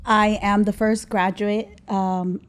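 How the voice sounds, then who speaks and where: A woman's voice: mid-range voice, level tone, takes it slowly. Thoughtful woman in her thirties from Mexico. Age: 30s. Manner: reflective, frequent ums and restarts. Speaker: podcast guest. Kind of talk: casual interview.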